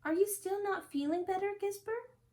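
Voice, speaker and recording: Lady doing a worried giraffe parent in a kids book, high gentle voice, full of concern. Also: moderate pace. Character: caring, worried giraffe parents of a young son. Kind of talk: children's storybook character reading.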